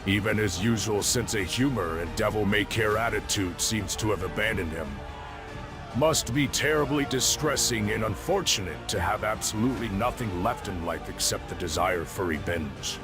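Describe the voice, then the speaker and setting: An actor playing an imposing, gloating crime lord in a comic-book audio drama, with an eloquent, theatrical, contemptuous delivery. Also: male voice, fairly low pitch, moderate pace, natural voice. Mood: mocking. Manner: theatrical, grandiloquent, taunting. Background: music.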